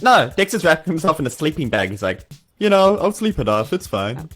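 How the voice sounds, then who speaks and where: A man improvises banter as a player in a tabletop game. His intonation is very lively, swinging up and down, and his manner is dry and protesting. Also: on the high side, fairly quick, faint music behind.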